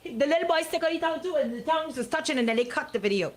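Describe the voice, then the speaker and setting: A woman's voice: sits high, expressive, very fast. A Bahamian woman co-hosting radio talk with casual, playful banter, interjecting and echoing her partner. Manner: playful, interjecting, echoes the other host. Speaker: radio co-host.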